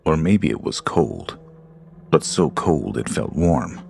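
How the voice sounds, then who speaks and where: A man narrates a horror story in an extremely deep, gravelly voice. He reads slowly and deliberately, letting every line sit. Ominous, steady scripted narration. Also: slight background noise. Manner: slow, deliberate, ominous delivery.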